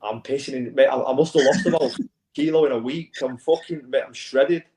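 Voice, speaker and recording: A man's voice: medium pitch, a little fast, a little mellow. Laid-back man on a roomy call. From England. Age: 20s. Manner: laid-back, swearing, says mate a lot. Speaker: guest. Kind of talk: casual chat. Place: an apartment in Bangkok.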